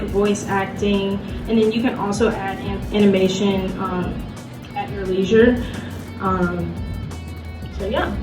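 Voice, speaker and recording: A woman giving an informal panel presentation in a conversational, somewhat hesitant way, peppered with "like". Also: mid-range voice. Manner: hesitant, conversational, frequent "like" as filler. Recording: echoey room from far off, music behind.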